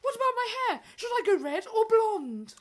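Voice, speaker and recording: Woman as ugly stepsister, high-pitched, very animated, bratty, boisterous, vain, radio pantomime. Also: moderate pace. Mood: excited. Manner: bratty, excitable.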